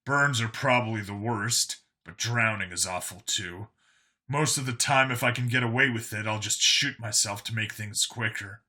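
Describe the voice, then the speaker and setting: A man's voice: on the low side, normal speed, pushed and bright. A man voicing a world-weary clone cop in an audio story, burdened by guilt and explaining things matter-of-factly. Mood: grim, resigned.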